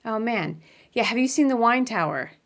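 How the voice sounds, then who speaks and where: A woman hosting a casual live video interview, chatty and quick to laugh. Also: a little high, lively intonation, heavy background noise. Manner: chatty, laughs often, apologetic at start. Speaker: podcast host.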